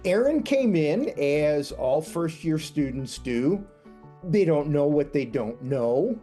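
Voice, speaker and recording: A speaker recalls a former student slowly and with a lively, rising-and-falling voice. The tone is mellow and dark, with faint music behind. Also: medium pitch. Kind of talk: interview recollection.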